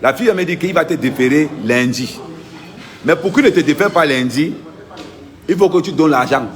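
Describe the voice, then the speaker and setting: Activist vlogger, man, pitched a bit high, emphatic and repetitive delivery. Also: moderate pace. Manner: insistent, emphatic, repeats key points. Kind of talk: impassioned first-person account.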